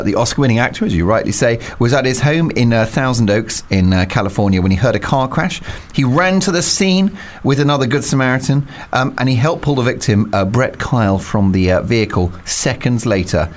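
A man hosting a topical panel talk show, speaking in an animated, emphatic way. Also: medium pitch. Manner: animated, emphatic, rises on key words. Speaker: host.

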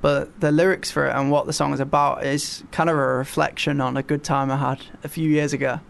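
A young man from Yorkshire with a Yorkshire accent, a singer-songwriter chatting as an interview guest, halting and full of fillers and restarts. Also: fairly high pitch, flat delivery, gravelly. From Yorkshire, England. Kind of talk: casual interview chat.

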